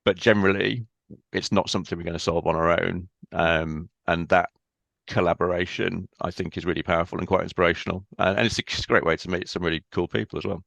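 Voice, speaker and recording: A man speaking as a podcast guest in a casual interview, thoughtful and measured, often pausing on "um". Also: low-pitched, fairly even intonation, gravelly, a bit bright, no room sound. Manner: thoughtful, measured, with frequent ums.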